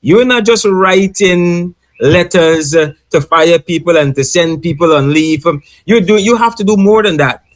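A man's voice: high voice, measured pace, hardly any pauses. A man on a discussion panel explaining his views emphatically, repeating his points and checking in with listeners. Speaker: panel speaker, HR student.

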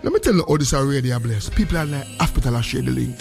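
A man's voice: mid-range voice, lively intonation, fast, creaky, darkish tone. A Jamaican man hosting a live call-in radio show, chatting in a Jamaican accent with sing-song, exuberant greetings. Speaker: gospel radio show host. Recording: faint music behind the voice. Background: music.

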